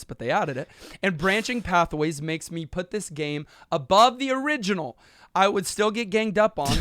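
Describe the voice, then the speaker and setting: A man's voice: a little high, a bit bright, clear, resonant tone, no room sound, studio quality. A man co-hosting a podcast, reading listener emails aloud steadily and adding joking asides in casual chat. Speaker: podcast co-host.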